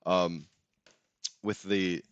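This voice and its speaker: A laid-back man with a fairly low voice chats loosely about tabletop games, laughing often. The sound is dry and close, with no room around it. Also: level tone, very slow, clean tone, no echo, dull audio. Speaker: podcast host. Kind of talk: casual chat.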